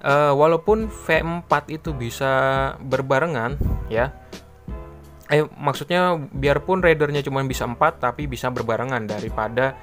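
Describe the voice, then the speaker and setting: A man's voice: mid-range voice, moderate pace. Casual man explaining over background music. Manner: informal, rambling, repeats himself with filler tags. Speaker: product reviewer and online seller. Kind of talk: casual unscripted product explainer.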